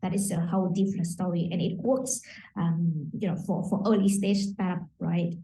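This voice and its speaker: A woman's voice: lowish voice, flat delivery, normal speed, dark tone, slight background noise. Woman hosting from afar. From Vietnam. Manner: hesitant, many "um" fillers while shaping questions. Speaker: host, moderator. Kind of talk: moderating a panel discussion. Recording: roomy, distant mic.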